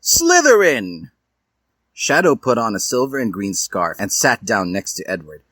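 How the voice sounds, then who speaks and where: Male storyteller, husky and animated, mock-earnest theatrical delivery of amateur fiction, close dry sound. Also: lowish voice, moderate pace, no echo. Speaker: reader of fan fiction. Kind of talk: theatrical storybook narration read aloud.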